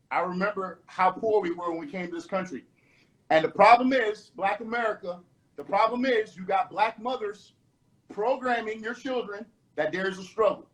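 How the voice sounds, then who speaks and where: A middle-aged man from the Bahamas making forceful, preachy points in a heated panel debate, repeating himself for emphasis. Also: high-pitched, poor audio.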